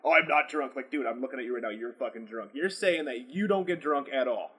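A slightly tipsy man hosting a podcast, chatting casually and asking questions in an animated, incredulous way, swearing freely. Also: mid-range voice, fast, husky. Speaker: podcast host.

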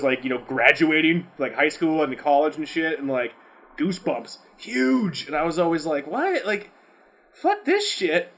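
Guy podcast cohost, voice a bit on the high side, swears a lot, slangy casual chat. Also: a bit bright. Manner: profane, slangy, exclamatory. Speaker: podcast co-host. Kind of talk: casual chat answering fan questions.

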